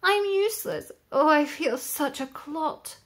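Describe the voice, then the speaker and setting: A woman voicing a shy, gentle young male giraffe in a storybook read-aloud. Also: sits high, a little slow, pitched above the performer's own voice. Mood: downcast. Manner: soft and sad, then bursting with joy.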